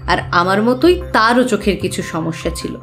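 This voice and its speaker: A woman's voice: mid-range voice, expressive, normal speed, a bit bright, soft background music, natural voice. An actress playing an earnest, trusting young woman client who carefully recounts her troubles in an audio drama. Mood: earnest, troubled.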